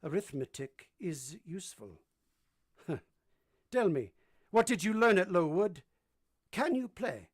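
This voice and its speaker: An actor playing an imperious, blunt middle-aged English master of the house in a vintage-style radio drama. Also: male voice, high voice, very animated, takes it slowly, pauses often, heavily acted. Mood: stern, probing.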